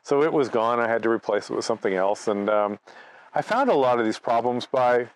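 A man's voice: mid-range voice, animated, a little fast, low-quality recording. A man, giving an informal presentation as a conversational, anecdotal storyteller. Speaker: amateur radio presenter. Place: outdoors by trees at a cottage.

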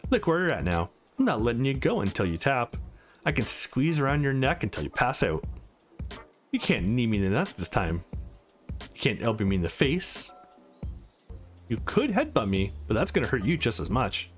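A man's voice: medium pitch, very animated, moderate pace, soft background music, natural voice. A man playing a cocky, boastful young man who taunts a sparring rival in a visual novel.

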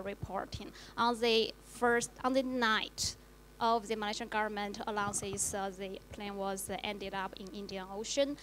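A woman journalist whose first language is Chinese, speaking haltingly with many fillers on a panel in a lecture hall with a handheld mic. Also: mid-range voice, fairly bright tone, slight background noise. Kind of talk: panel discussion.

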